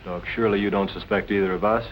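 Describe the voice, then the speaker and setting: Deep male voice, smooth 1940s noir husband, played by an actor, distant, muffled old soundtrack. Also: steady tone, very fast, slight background noise, natural voice. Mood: defensive. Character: smooth, composed husband in a noir crime film. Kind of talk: 1940s film noir acting.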